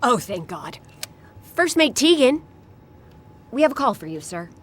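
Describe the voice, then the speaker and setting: An exasperated first mate in a comic audio drama. Her voice is fairly high and moves around a lot, at an unhurried pace. She is deferential on the surface and wryly sarcastic beneath. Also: sing-song, slightly rough, exaggerated voice. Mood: dutiful, wry. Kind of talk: audio drama comedy acting.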